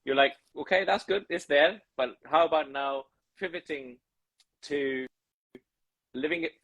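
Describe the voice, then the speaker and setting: Halting, effusive podcast host man. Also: on the high side, fairly bright tone, no echo, low-quality recording. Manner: halting, many restarts and fillers; effusive, keeps thanking the guest. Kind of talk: casual interview chat.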